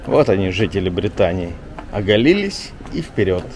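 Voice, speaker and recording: A man talking casually as a journalist vlogger on a walk through an old town street, rambling and chatty, often pausing on filler words. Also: low-pitched, very animated, noisy background. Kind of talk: casual walking vlog chat.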